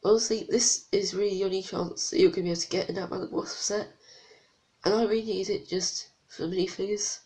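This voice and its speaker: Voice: medium pitch, fairly even intonation, fast talker, dark tone. A reviewer with a blocked, congested nose, chatting casually through a toy set review with unsure, rambling asides. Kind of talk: casual product review vlog.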